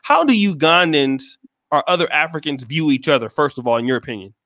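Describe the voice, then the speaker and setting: A man hosting a video call interview, relaxed and hesitant, often restarting his questions. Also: a little high, fairly quick, no echo, studio quality. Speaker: host. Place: small room indoors. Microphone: headset and studio mic.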